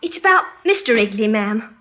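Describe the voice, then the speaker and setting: An actress playing a timid, earnest young country housemaid in an old radio drama, meek and hesitant. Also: female voice, a little high, sing-song, normal speed, bright, forceful tone. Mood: flustered. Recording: noisy old radio recording with clicks.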